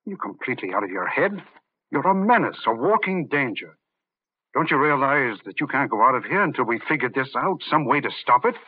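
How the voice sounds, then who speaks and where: An actor playing an intense, rational but rattled doctor in an old-time radio drama, speaking urgently and emphatically. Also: male voice, mid-range voice, sing-song, brisk, slightly rough. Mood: alarmed. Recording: muffled narrow-band old radio recording.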